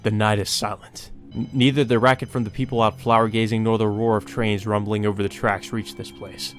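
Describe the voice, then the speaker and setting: A man voicing a cold, methodical killer narrating calmly and deliberately in a visual novel. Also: on the low side, soft background music, close to an everyday voice. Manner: calm, deliberate. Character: cold methodical male killer musing over his ritual.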